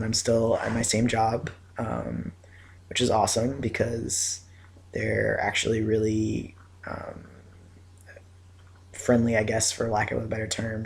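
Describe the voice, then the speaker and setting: A man's voice: fairly low pitch, creaky, darkish tone. A young man vlogging casually from bed, groggy and rambling, his voice scratchy after surgery. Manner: groggy, rambling, lots of fillers. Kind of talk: casual chat to camera. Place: in bed at home.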